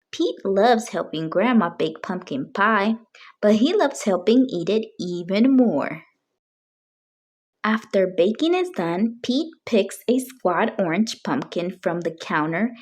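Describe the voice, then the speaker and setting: Slow, animated woman reading a storybook. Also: medium pitch, breathy edge. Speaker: children's storybook reader. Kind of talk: read-aloud storytelling for young children.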